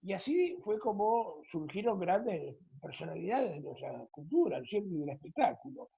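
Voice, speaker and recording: A woman with an Argentine accent and a husky voice, hosting an interview in a discursive, conversational way. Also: lowish voice, big pitch swings, normal speed. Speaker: interviewer, radio programme host. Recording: thin phone-like audio.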